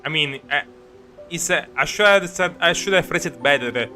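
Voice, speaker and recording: Bright-voiced man commentating on a game. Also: a little high, expressive, soft background music. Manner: halting, stumbling when reading, sweary. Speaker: video game streamer. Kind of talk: casual game commentary, reading dialogue aloud.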